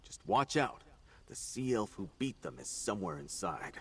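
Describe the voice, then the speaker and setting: Game knight commander, husky lowish voice, wary, giving orders, pretty animated. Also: male voice, moderate pace. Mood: grave, urgent. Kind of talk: video game voice acting.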